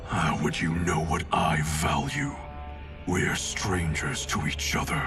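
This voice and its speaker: A man's voice: deep voice, animated, slow. A man playing a proud, stern clan grandmaster in a video game cutscene, curt and suspicious in manner. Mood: cold disdain. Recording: distant, under clear music.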